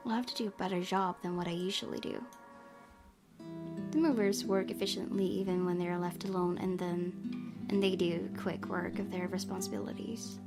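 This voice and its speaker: A woman's voice: fairly low pitch, steady tone, normal speed. A woman hosting a gaming video, reading visual novel narration aloud and adding her own asides. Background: guitar game music.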